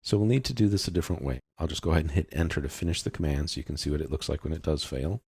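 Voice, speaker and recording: A man narrating a step-by-step software tutorial as an instructor, explaining in an informal, unscripted way. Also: rumbling low, very fast, breathy edge, no echo, studio quality.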